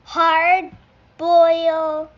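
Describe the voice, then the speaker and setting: A woman voicing a patient but exasperated rabbit instructor from a picture book, speaking in deliberate, halting steps. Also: very high voice, completely flat delivery, muffled recording, exaggerated voice. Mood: instructive.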